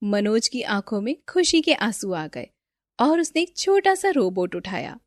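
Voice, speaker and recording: A woman's voice: on the high side, sing-song, a little slow, dry recording, clean studio sound. A woman storyteller reading a scripted children's moral story as narrator.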